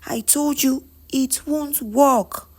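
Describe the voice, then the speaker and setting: A woman's voice: high-pitched, slow, pitched above the performer's own voice. A Nigerian woman voicing a firm, defensive father in a story, explaining himself insistently.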